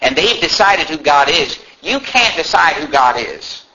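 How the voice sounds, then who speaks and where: American guy preaching a sermon, sharp intense voice, kinda muffled lofi audio w a bit of noise, very emphatic. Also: fairly high pitch, very animated, unhurried. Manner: emphatic, preachy, with rhetorical questions and repetition for emphasis. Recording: muffled lo-fi with faint background noise.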